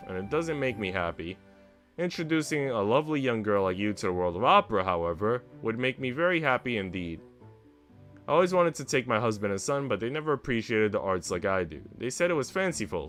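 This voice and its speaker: A man's voice: lowish voice, sing-song, brisk, a bit bright, soft background music. A man voicing a wealthy, kindly elderly noblewoman in a visual novel, with a genteel, reassuring manner. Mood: wistful, gracious. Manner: genteel, reassuring, passionate about the arts. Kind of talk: visual novel dialogue read aloud.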